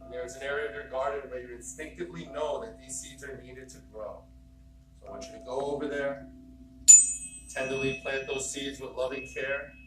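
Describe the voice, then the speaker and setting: A man leading a guided meditation, giving slow, soothing instructions and repeating phrases. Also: medium pitch, steady tone, noisy background. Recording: roomy and distant with loud music.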